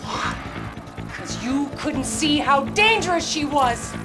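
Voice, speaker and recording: An actress playing a fervent, defiant militant zealot with an intense, emphatic delivery in a dramatic video game cutscene. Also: female voice, high voice, expressive, slow pace. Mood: desperate, confessing. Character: fervent, defiant female militant zealot, loyal yet distrustful. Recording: voice over loud background music.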